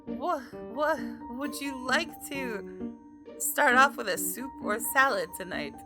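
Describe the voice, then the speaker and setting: A flustered young server from a visual novel, played by a woman, nervy and hesitant. The pitch jumps around in an animated way over background music. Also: takes it slowly, exaggerated voice. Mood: nervous. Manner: stammering, hesitant. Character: nervous, flustered young human restaurant server.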